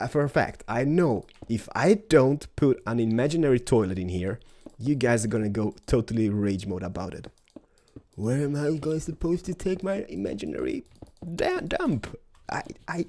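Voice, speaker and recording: Casual male build host with a cold, lively swooping voice, mellow tone, playful self-mocking chatter. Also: mid-range voice. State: has a cold.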